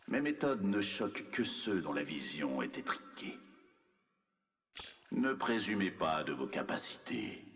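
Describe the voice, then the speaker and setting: An actor playing a cold, arrogant, menacing scientist villain in a video game, speaking in a measured, condescending, clinical manner with a husky voice. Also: male voice, low voice, a bit bright, full character voice. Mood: cold disdain. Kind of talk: scripted video game character voice lines.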